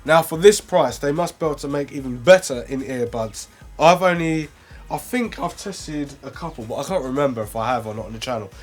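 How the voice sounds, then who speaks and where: A man's voice: medium pitch, animated, fairly quick, soft background music. A young man reviewing earphones in a casual, rambling way, full of fillers and restarts. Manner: rambling, self-correcting, lots of fillers. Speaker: product reviewer.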